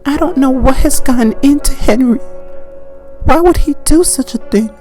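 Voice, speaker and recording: A man's voice: very high-pitched, normal speed, darkish tone, faint music behind, pitched above the performer's own voice. A man voicing a worried mother who speaks ominously about her son in a put-on feminine voice. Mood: worried, baffled. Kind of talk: bedtime horror-comedy storytelling.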